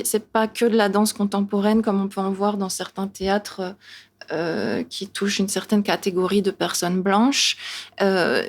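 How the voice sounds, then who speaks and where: A woman choreographer and teacher speaking on a panel, eagerly listing things. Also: mid-range voice. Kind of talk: panel talk.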